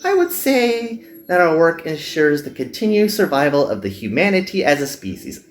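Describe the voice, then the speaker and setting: A man voicing an earnest, gentle young magical girl in a visual novel, pleading and sincere. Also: fairly high pitch, big pitch swings, normal speed, reverberant, soft background music, voice pushed up. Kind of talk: visual novel character dubbing.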